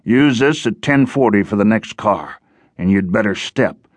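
A man voicing a huge, gruff, menacing seafaring outcast in an audiobook, giving unhurried orders. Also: fairly low pitch, animated, no echo. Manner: gruff, nautical, unhurried commands. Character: giant bloated gruff male menacing underwater outcast. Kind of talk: audiobook character voice.

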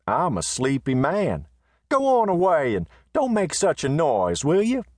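A man voicing a sleepy, unflappable cowboy who talks wearily and warns calmly in a western story. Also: fairly high pitch, unhurried, dry recording, clean studio sound, pitched above the performer's own voice, exaggerated voice. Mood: weary. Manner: weary, drowsy, mildly warning.

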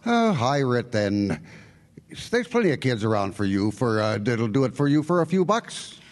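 A man playing a blunt, folksy old pensioner in a radio drama, colloquial and gruffly matter-of-fact. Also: mid-range voice, very animated, normal speed, in a large hall, natural voice. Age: older.

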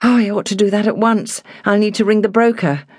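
An anxious young gentlewoman taking charge , played by a woman reader in a novel. She is brisk and well-spoken with worry in her voice, recorded very dry and close. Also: mid-range voice, steady tone, no echo, voice pushed up, close to an everyday voice. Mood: worried, hurried.

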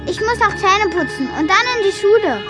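A woman's voice: very high voice, expressive, a little fast, over loud music. An actress playing a well-behaved, curious young schoolgirl in a children's radio play, speaking in an earnest, friendly way.

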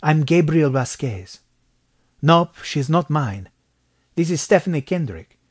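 A man's voice: a little high, animated, takes it slowly, slightly rough, dry recording, studio quality, voice pushed up, exaggerated voice. A man voicing a chivalrous, concerned man in a western audiobook. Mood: warm, indignant. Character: concerned, chivalrous Mexican man in the Old West. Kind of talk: western audiobook character acting.

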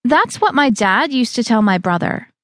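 A woman voicing a pushy, upbeat young female fitness trainer who coaxes loudly. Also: fairly high pitch, sing-song, moderate pace, dry recording, clean studio sound, voice pushed up. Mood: coaxing. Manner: pushy, cheerful, loud coaxing.

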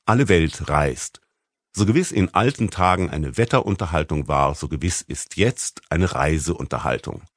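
Deep-voiced man narrating with expressive range. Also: normal speed, no room sound, clean studio sound. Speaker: audiobook narrator. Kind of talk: scripted literary narration.

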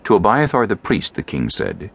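A man narrating scripture as a scripted audiobook, in a measured, solemn storytelling manner. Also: on the low side, wildly swooping pitch, creaky, breathy edge.

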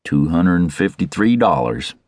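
A man's voice: low-pitched, sing-song, moderate pace, darkish tone, dry recording. A man voicing a meek, defeated sheep herder in a Western story, numb and submissive. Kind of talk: Western audiobook character.

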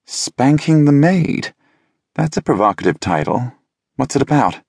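A man's voice: medium pitch, sing-song, unhurried, gravelly, a little mellow, husky, no room sound, voice pushed up, exaggerated voice. A man voicing a cheerful, chatty young boyfriend in an audiobook, with a breezy, upbeat manner. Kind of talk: audiobook character voice.

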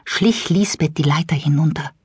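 A woman narrating a scripted children's audiobook with expressive, careful storytelling. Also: deep voice, normal speed, slightly rough, dry recording, studio quality. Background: musical instruments and music.

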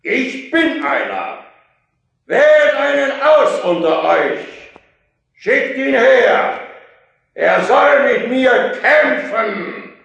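An actor playing a boastful giant warrior who taunts an enemy army in a biblical radio play, slow and bellowing. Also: male voice, very high voice, sing-song, gravelly, a bit bright, full character voice. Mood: booming taunts. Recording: heavy echo, muffled sound.

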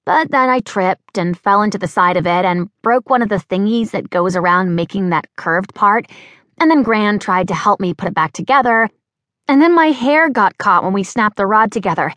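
A woman's voice: mid-range voice, lively intonation, moderate pace, a bit bright, dry recording, voice pushed up, lightly acted. A woman voicing a clumsy, bubbly young American woman with an American accent who rambles on breathlessly. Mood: chatty.

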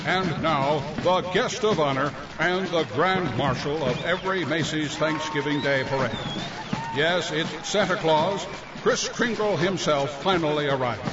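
An actor playing a genial, showy parade announcer in an audio drama. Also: male voice, on the high side, a little slow, heavy background noise, lightly acted. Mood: showy, festive. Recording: muffled, loud parade music behind.

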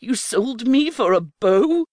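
A woman's voice: fairly high pitch, animated, slow pace, a little mellow, no echo, clean studio sound, voice pushed up. A woman voicing a long-suffering young man in his twenties in a storybook, wry and weary. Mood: wry, wary.

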